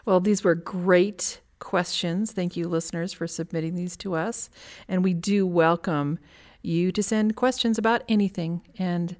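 Female podcast host, American, slightly low voice. Conversational, agreeable, reads listener questions. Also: steady tone, unhurried, darkish tone.

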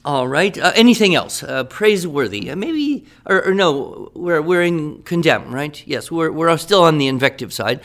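A male writing teacher runs a classroom brainstorm in a scripted radio drama. He is upbeat, encouraging and wordy. His voice sits a little high. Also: animated, normal speed, few pauses, fairly bright tone.

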